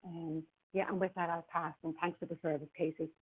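A woman from Ireland sharing reflectively on a phone call to a recovery meeting, halting with many ums. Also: fairly low pitch, flat delivery, husky. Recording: phone line.

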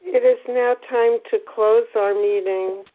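A woman chairing a recovery meeting, speaking in a formal, measured way. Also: a little high, fairly even intonation, slight background noise. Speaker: meeting leader. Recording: tinny phone line.

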